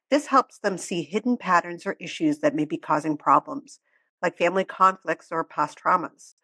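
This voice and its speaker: Woman podcast host, lowish voice, calm and measured, dry recording. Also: no echo. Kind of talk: podcast interview with read explanations.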